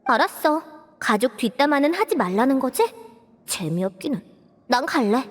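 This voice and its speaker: Pert, high-voiced gossipy young woman. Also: big pitch swings, normal speed. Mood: irritated. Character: blunt, gossipy young woman of a secretive household. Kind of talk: Korean video game voice acting. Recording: long reverb, game music behind. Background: music.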